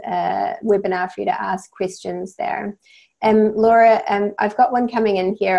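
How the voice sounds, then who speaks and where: A woman with a New Zealand accent moderates an online panel, her voice carrying a noticeable gravelly fry. She keeps her delivery even and level, calmly thanking speakers and reading out questions. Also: on the low side. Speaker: webinar host. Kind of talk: moderating a webinar.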